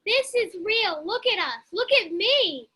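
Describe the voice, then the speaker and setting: Casting: actress as blunt, fed-up young woman, very high and sharp voice. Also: big pitch swings, a little slow, heavily acted. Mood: exasperated. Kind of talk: amateur sitcom table read.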